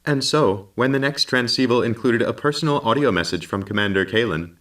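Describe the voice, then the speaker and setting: An actor playing a formal, loyal robot companion who narrates in a measured, detached manner in an audio drama. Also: male voice, medium pitch, fairly bright tone, distant mic, lightly acted. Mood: calm, detached.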